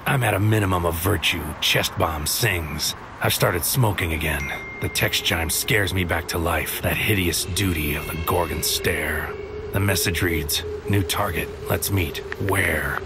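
Deep-voiced brooding narrator, solemn. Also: male voice, noisy background. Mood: weary, bleak. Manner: solemn, deliberate, poetic. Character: brooding, fatalistic man narrating his bleak inner world. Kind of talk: scripted audio drama monologue.

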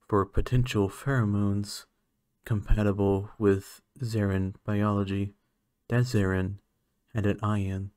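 A man voicing a curious, clinical alien scientist in a soft-spoken ASMR roleplay, measured and formal with hesitant moments. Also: fairly low pitch, no echo, studio quality.

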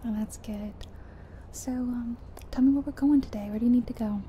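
A soft-spoken woman doing an ASMR roleplay as a friendly, chatty taxi driver, in a gentle, whispery delivery. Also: a little high, steady tone, moderate pace. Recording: faint background noise of game car sounds. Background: car sounds from a driving game.

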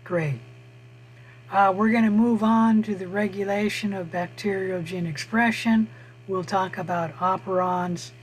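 Hoarse man teaching online, slow and halting with lots of "uh" pauses, fairly high voice, faint hum behind. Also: steady tone, poor audio. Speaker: college biology instructor. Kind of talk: online lecture over screen share. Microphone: computer mic on a video call.

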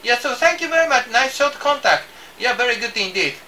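An older man from Japan with a Japanese accent makes a ham radio contact, speaking haltingly, repeating words and spelling with the phonetic alphabet. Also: very high-pitched, lively intonation, bright, forceful tone, distant mic, a little hiss or hum. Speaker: amateur radio operator. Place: small indoor radio desk.

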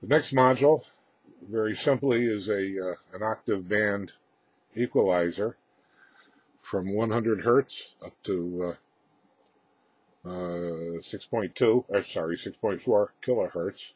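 A man with a low, husky voice talks through technical gear in a halting, matter-of-fact manner. He sounds like he is presenting off the cuff in a roomy indoor space, with slightly muffled sound. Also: darkish tone. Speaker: presenter demonstrating his radio equipment. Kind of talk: unscripted technical walkthrough. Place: indoor room with equipment rack.

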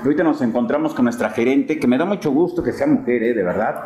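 A man's voice: mid-range voice, fast talker. A middle-aged man with a Mexican accent hosting a casual interview in a restaurant, chatty and joking as he leads the questions. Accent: Mexican Spanish. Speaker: restaurant show host. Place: restaurant terrace with plant wall. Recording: roomy sound with crowd chatter behind.

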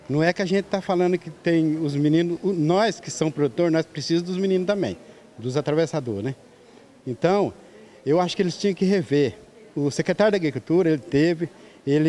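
A man's voice: fairly high pitch, a little mellow. A Brazilian man in his forties, a market vendor giving an informal interview with frequent tag questions, on a handheld microphone in an open market hall. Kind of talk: street interview, informal complaint. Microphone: handheld reporter microphone.

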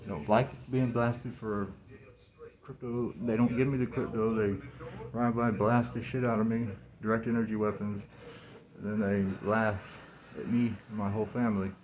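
A man in his thirties in a rambling, halting monologue, repeating words and phrases, recorded on a phone indoors through a cloth mask. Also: on the low side, flat delivery, darkish tone, muffled recording. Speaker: vlogger talking to camera. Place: small room indoors. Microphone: phone at arm's length, speaking through cloth mask.

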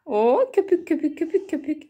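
A woman's voice: high-pitched, big pitch swings, moderate pace, dark tone. A woman talking to her young child as a mother at play, narrating in playful, sing-song baby talk with repeated words. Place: indoors at home beside water play tubs. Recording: noisy home phone recording with clicks.

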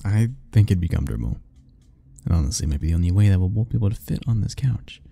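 Low gravelly teasing young man. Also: lively intonation, fast talker, warm tone. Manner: teasing, playful, laughing often. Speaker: ASMR roleplay narrator. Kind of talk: ASMR boyfriend roleplay, talking to the listener.

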